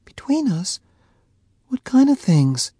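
A man's voice: sits high, lively intonation, normal speed, gravelly, darkish tone, breathy edge, no room sound, studio quality, pitched above the performer's own voice, exaggerated voice. A man reading an audiobook, voicing a heartbroken young woman who pleads tearfully and flares into anger. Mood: hurt pleading. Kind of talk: audiobook character voice.

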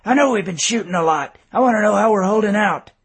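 Steady, commanding colonel, a bit husky, voiced by a man. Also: on the high side, moderate pace. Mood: firm. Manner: calm, commanding. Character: stoic, resolute male colonel.

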